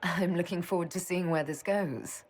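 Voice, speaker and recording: A woman playing a composed, dry-witted battle mage leader in a video game, wry and measured with a husky voice. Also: fairly low pitch. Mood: easygoing.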